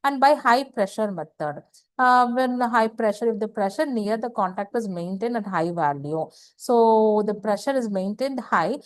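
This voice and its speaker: A woman gives a slide lecture, halting and repeating phrases, often asking questions and answering them herself. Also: mid-range voice, dry recording. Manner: halting, repetitive, asks and answers own questions. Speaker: engineering lecturer. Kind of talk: slide lecture explaining technical material.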